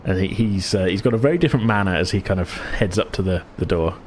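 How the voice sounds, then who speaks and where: A man's voice: deep voice, fast, slight background noise. A man acting as game master, improvising descriptive narration for a tabletop game in a hesitant, searching way.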